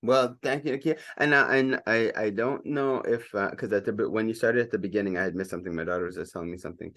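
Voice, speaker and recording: A man leads a community club's morning meeting over a video call, speaking eagerly and often restarting his phrases. His voice sits somewhat low. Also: fast, fairly bright tone. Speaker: host of a community networking club. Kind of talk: meeting host announcements.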